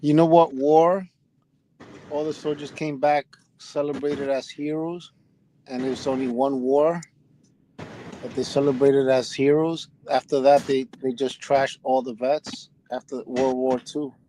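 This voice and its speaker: A man's voice: medium pitch, steady tone, unhurried, dark tone, a little hiss or hum, poor audio. A middle-aged man telling a rambling personal story in casual conversation, often restarting his sentences. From United States. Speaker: podcast host.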